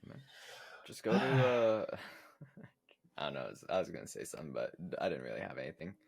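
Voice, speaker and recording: Very deep-voiced young man, lively intonation. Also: brisk, creaky, breathy edge, no echo. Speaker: podcast co-host. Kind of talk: casual chat.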